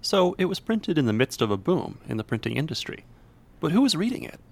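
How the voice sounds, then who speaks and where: Gravelly co-host guy, quick and bouncy, cracks jokes and asks stuff. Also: a little high, sing-song, darkish tone. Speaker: podcast co-host.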